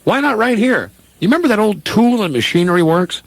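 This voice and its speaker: Guy acting a reluctant small town fella, earnest, kinda high and up and down, comedy radio play. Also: big pitch swings, fairly quick, creaky, no room sound, heavily acted. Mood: earnest, hesitant. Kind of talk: amateurish radio play parody.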